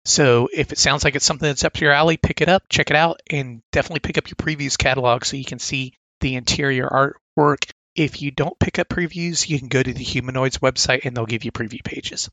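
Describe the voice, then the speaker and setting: A man's voice: medium pitch, steady tone, brisk, a bit bright, no echo. A man with a sore throat casually reviewing comic catalog picks, reading blurbs aloud with enthusiastic asides.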